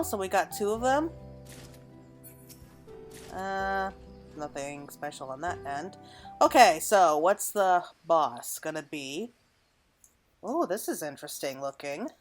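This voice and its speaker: A woman giving casual gameplay commentary, rambling and thinking aloud as she plays. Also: mid-range voice. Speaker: gamer, let's play host. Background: game music.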